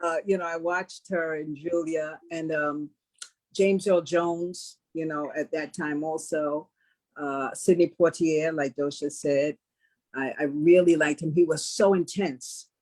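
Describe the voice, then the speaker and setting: An older woman speaking as an interviewed actress in a casual panel conversation, laying out her points in a measured, affirming way. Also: deep voice, steady tone, a little mellow. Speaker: stage actress interviewed about a play.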